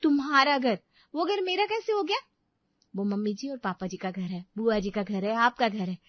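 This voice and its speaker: A woman's voice: fairly high pitch, animated, moderate pace, no room sound, lo-fi sound. A woman voicing a dutiful, emphatic young daughter-in-law in a family drama. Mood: insistent. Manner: earnest, emphatic.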